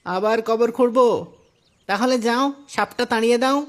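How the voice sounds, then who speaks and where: A man as a very high-voiced, jumpy young gravedigger. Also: steady tone, moderate pace, fairly bright tone. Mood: reluctant. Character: frightened, grumbling young gravedigger in a village. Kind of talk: Islamic moral cartoon story acting.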